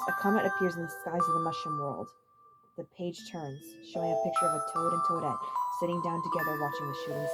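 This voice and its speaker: Low-voiced, quick woman narrating a story. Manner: stumbles over written words. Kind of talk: dramatic scripted story narration. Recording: loud music under the voice.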